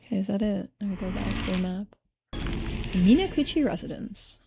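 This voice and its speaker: A woman's voice: medium pitch, expressive, brisk, gravelly, noisy background. A woman streaming a game, giving casual commentary as she thinks aloud.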